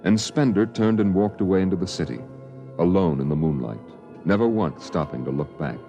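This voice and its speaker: A male narrator reading a 1950s radio drama in a slow, solemn, deliberate way. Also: deep voice, dark tone. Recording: muffled old radio broadcast with music.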